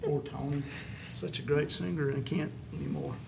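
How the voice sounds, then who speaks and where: A man's voice: a little high, fairly even intonation, moderate pace. A middle-aged man, a musician, in a casual interview, rambling a little and repeating his phrases. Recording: distant mic, clearly noisy ambience.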